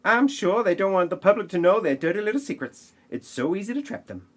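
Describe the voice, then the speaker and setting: Scheming party socialite, played by a man, pitched high, quick and gossipy, audio-play parody. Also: sing-song. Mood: conniving.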